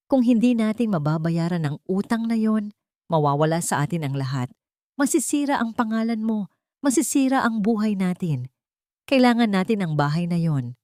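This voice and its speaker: A woman's voice: mid-range voice, expressive, moderate pace, a little mellow, dry recording, clean studio sound, pitched above the performer's own voice, lightly acted. A woman voicing an anxious, ambitious, scheming wife in an audio drama, speaking urgently and insistently.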